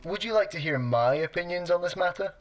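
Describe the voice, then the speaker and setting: Radio comedy machine: actor as sarcastic male toaster computer, lightish high voice, dry, fussy and unimpressed. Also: fairly quick. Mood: disapproving. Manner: deadpan, dry, formal. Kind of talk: sci-fi comedy radio play acting.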